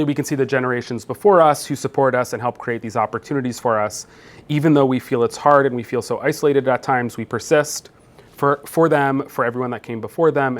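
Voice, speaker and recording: A man in his thirties from New Jersey giving a conference keynote, talking conversationally with many fillers and restarts. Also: medium pitch. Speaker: keynote speaker, professor. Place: conference room.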